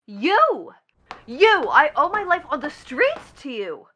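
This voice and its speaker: A woman's voice: high voice, big pitch swings, slow, pushed and bright, heavily acted. A woman playing a scornful, impudent young stepdaughter in a stage play, speaking with biting, declamatory drama. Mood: scornful, accusing. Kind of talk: theatrical stage-play reading.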